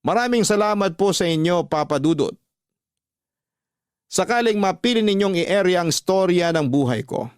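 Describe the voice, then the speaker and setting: A man's voice: high voice, lively intonation, normal speed, smooth, no echo, studio quality. A man with a Filipino accent, a radio host reading listeners' letters as earnest, sentimental, dramatic narration. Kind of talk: dramatic scripted narration of love-story letters. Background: music bed.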